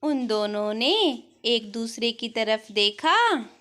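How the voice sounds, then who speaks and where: A woman tells a scripted story for children in a slightly high voice. She narrates at an extremely slow, measured pace, like a storyteller reading to small kids. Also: very animated, fairly bright tone.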